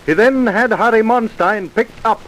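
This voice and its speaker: A man's voice: high voice, moderate pace, fairly bright tone, rough recording. A man playing an earnest narrator, reading a scripted vintage radio crime story. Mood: earnest, dramatic. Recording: hissy old radio recording.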